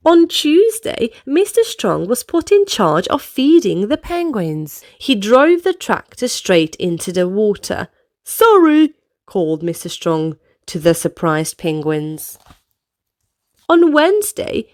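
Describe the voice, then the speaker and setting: A woman's voice: medium pitch, sing-song, slow pace, a little mellow. A woman reading storybooks aloud to children expressively, addressing young listeners with questions and exclamations.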